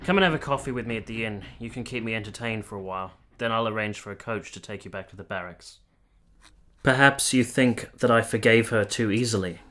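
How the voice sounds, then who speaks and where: An actor playing a blunt but soft-hearted nineteenth-century gentleman in a radio drama, with formal phrasing. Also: on the low side, close to an everyday voice. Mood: gentle, apologetic. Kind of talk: period radio drama acting.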